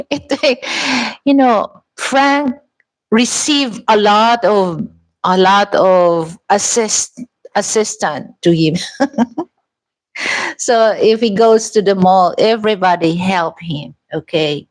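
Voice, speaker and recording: A woman's voice: medium pitch, slow, pauses often, low-quality recording. A woman with a Filipino accent talking casually to her viewers in a vlog, rambling with many restarts and "you know"s. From Philippines. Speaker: vlogger.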